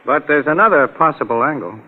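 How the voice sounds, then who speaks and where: An actor playing a breezy, slangy, cocky newspaper crime photographer in an old-time radio drama. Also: male voice, on the high side, lively intonation, fast talker. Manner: slangy, teasing, easygoing. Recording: muffled, narrow-band old radio recording.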